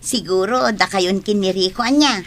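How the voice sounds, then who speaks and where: A Filipino actress playing a teasing, nosy young barmaid friend in a radio drama. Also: female voice, medium pitch, moderate pace, slight background noise, natural voice. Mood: playful, prying. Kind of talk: Ilocano radio drama acting.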